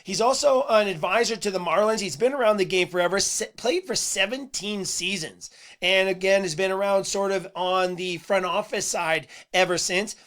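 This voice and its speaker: A man hosting a sports podcast in a casual monologue. His voice is a little hoarse and he talks earnestly, with "uh"s and repeated words. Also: high-pitched, moderate pace, fairly bright tone. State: slightly hoarse voice. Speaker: podcast host.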